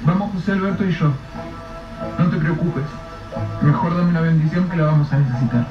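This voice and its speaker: A woman's voice: deep voice, fairly quick, music in the background, lightly acted. A woman playing a playful young working man reassuring his mother in a radio drama, with colloquial Mexican phrasing. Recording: roomy, dull, distant mic.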